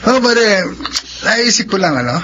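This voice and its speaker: A man's voice: high-pitched, expressive, unhurried, sharp tone, full character voice. A man with a Filipino accent playing a shifty, goading thug sidekick in a radio drama, casual and eager. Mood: scheming, casual. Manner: casual, egging on his partner. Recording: muffled lo-fi radio sound, noisy.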